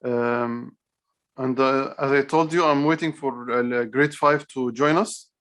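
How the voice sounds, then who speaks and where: A man's voice: medium pitch, steady tone, a bit bright. Hesitant male teacher on a video call. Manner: hesitant, halting, repetitive phrasing. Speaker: computer teacher for young children. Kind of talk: online class instruction.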